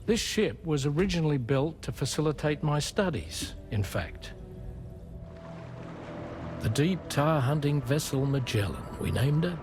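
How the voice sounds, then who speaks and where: A man playing a wistful, middle-aged seafaring father and researcher in a video game, telling his story in a measured, reflective way. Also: a little high, animated, over music. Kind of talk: video game cutscene acting.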